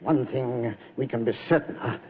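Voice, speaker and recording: Male actor as honor-bound general in anguish; rough-edged voice, halting speech, narrow vintage radio sound. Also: medium pitch, close to an everyday voice. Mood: resigned, grave. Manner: halting, emotional, formal. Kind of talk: old-time radio drama acting. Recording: muffled old radio recording.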